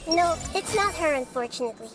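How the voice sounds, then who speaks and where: A woman playing a calm, wise young girl deity in a video game, explaining in a composed, measured way. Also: very high voice, noisy background. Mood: calm, reflective.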